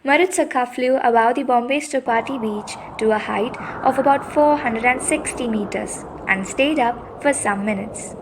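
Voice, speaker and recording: A woman's voice: on the high side, moderate pace, background noise. A woman reading scripted storytelling narration for a puppet show.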